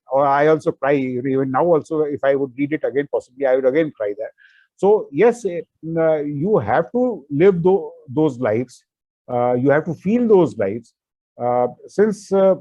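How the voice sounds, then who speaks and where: A man's voice: fairly high pitch, a little mellow, dry recording, muffled recording. A man whose first language is not English, an author answering interview questions with many fillers and restarts.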